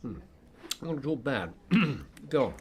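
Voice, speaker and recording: A sharp, sardonic detective in Victorian London. His pitch swings widely as he probes and needles. He speaks as a figure in a radio play. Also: very animated, slow. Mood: probing, incisive. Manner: incisive, teasing, probing. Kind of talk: radio drama acting.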